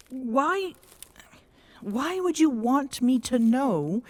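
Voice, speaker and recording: A woman voicing a tall, proud Amazonian queen who is smitten and flustered, speaking haltingly in regal phrases for an intimate ASMR roleplay. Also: sits high, heavily acted. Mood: indignant, flustered.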